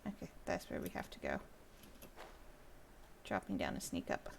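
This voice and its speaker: A woman's voice: very deep voice, wildly swooping pitch, moderate pace, vocal fry, a little hiss or hum. A woman giving casual live commentary on a video game, thinking aloud as she plays. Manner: thinks aloud while playing. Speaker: game streamer. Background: game audio with faint music.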